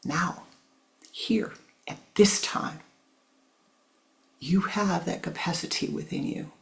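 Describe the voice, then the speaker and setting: A spiritual teacher speaking softly and tenderly, with a gravelly edge in the voice. Phrases are repeated for emphasis, and listeners are called "my love". Sometimes the voice drops almost to a whisper. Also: mid-range voice, slow pace.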